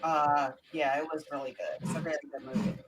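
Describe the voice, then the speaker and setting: A woman's voice: low-pitched, steady tone, brisk, slight background noise, rough recording. A middle-aged or older woman in casual panel chat, making her points emphatically and repeating them. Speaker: panelist on a talk show.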